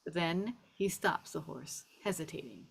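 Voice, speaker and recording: A woman's voice: low-pitched, expressive, measured pace, gravelly, slightly rough, rough recording. A woman narrator reading scene descriptions and stage directions aloud at a script table read.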